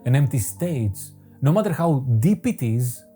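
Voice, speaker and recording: Man, very mellow dark tone, measured scripted narration, soft guitar music behind. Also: medium pitch, big pitch swings. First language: Greek. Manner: measured, poetic, deliberate phrasing. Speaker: photography channel host. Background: faint guitar music.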